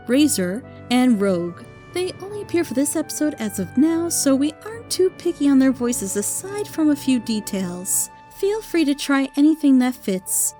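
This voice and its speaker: A woman's voice: high voice, warm tone. A woman delivering scripted narration as the announcer of a casting call.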